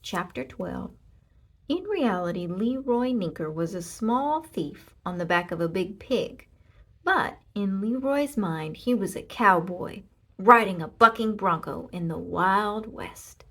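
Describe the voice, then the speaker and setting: A woman narrating a children's storybook in an expressive read-aloud style. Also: medium pitch, slow pace. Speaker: storybook reader.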